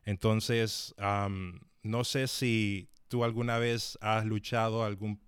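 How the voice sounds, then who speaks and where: A man's voice: lowish voice, flat delivery, slow, smooth, dry recording, studio quality. A man hosting a live-streamed interview, talking with many fillers and restarts. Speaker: host.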